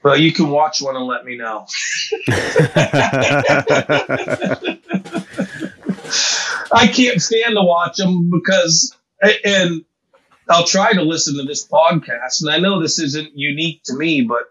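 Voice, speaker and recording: An older American man speaks casually as a podcast guest, with a husky, somewhat breathy voice pitched a little high. He talks in a wry, self-deprecating way. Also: takes it slowly, long pauses, a bit bright, poor audio. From United States. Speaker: pastor and author, guest. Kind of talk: casual interview chat.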